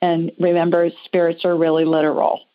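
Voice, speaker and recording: A woman's voice: lowish voice, monotone, fairly quick. Chatty American woman hosting, slightly dull audio. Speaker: host, psychic call-in reader. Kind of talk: conversational call-in show readings.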